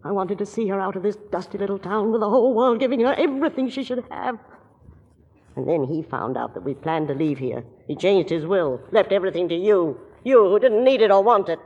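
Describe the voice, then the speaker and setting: Middle-aged murderess, bitter, obsessive, husky, intense, 1940s radio drama, reverberant broadcast. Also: female voice, medium pitch, expressive, fairly quick, darkish tone, highs cut off. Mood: bitter, gloating. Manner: intense, confessional, brooding. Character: murderous aunt and mother, bitter, obsessive, chillingly calm.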